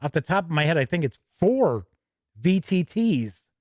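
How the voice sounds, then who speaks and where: A man presents hobby news in a chatty but emphatic way. His voice sits a little high and moves widely up and down as he talks. The recording is dry and close, with very little room sound. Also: sing-song, moderate pace, a little mellow, no echo. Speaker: host of a tabletop gaming news show. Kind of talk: chatty news presenting, reading product write-ups and answering viewer comments.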